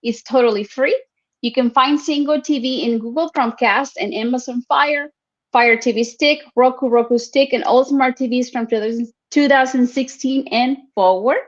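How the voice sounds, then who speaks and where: A woman's voice: high-pitched, normal speed, muffled recording. A woman hosting a live-streamed show, introducing her guest with enthusiasm and laughing between phrases. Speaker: show host.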